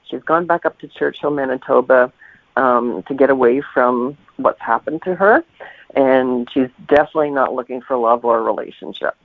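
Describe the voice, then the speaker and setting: A man novelist with a gravelly voice, answering questions conversationally as a podcast guest. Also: mid-range voice, normal speed, no echo. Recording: dry, slightly dull lo-fi audio.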